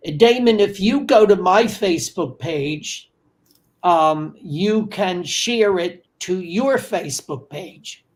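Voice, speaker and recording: A man's voice: high-pitched, animated, slow pace. An older man in his seventies hosting a casual interview over a video call, often repeating things to confirm them. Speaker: podcast host. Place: home study with bookshelves.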